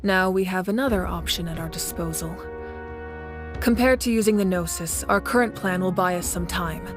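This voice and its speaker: A woman's voice: on the low side, over music, natural voice. Woman as a composed, resolute warrior leader. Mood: serious, composed. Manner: calm, measured, assured. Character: composed female warrior leader, confident, resolute and fair-minded. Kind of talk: video game voice acting.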